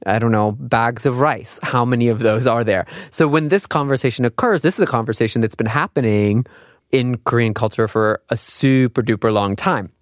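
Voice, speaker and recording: A man's voice: medium pitch, normal speed, no room sound, studio quality. A man teaching an informal language lesson in a conversational, explanatory way, putting questions to the listener as he goes. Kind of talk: informal spoken lesson with examples and quiz questions.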